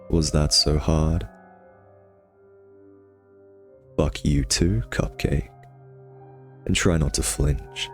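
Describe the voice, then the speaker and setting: A man's voice: rumbling low, full character voice. A man playing a cocky, sardonic vampire nemesis who teases and taunts the listener in an intimate ASMR roleplay. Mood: needling, exasperated.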